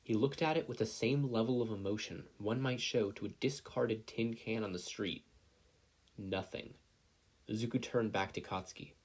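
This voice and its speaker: Male story narrator, husky and fairly low, reading scripted fiction. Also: normal speed, a little mellow. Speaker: podfic narrator.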